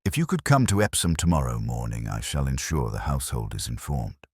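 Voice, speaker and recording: A man's voice: a bass voice, big pitch swings, a little fast, husky, no room sound, clean studio sound, pitched below the performer's own voice, heavily acted. A man voicing an anxious, polite Victorian private secretary in an audiobook, speaking formally and precisely. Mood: relieved.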